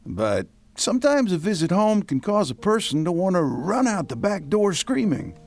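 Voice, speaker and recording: Wry gravelly male narrator. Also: high voice, sing-song, faint music behind. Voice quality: wry, measured. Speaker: narrator and host. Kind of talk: scripted radio drama narration.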